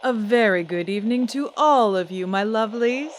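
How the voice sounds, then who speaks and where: A woman's voice: on the high side, animated, moderate pace, lightly acted. Woman playing a glamorous starlet, noisy recording. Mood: gracious, coy. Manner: theatrical, affected endearments. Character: glamorous, affectionate female celebrity, 1930s radio guest. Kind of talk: 1930s radio drama acting.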